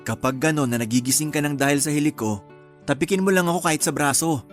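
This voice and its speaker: A man's voice: on the high side, quick pace, faint music behind, natural voice. A man playing a teasing, loving young husband. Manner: teasing and affectionate. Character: playful affectionate young husband. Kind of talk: radio drama acting.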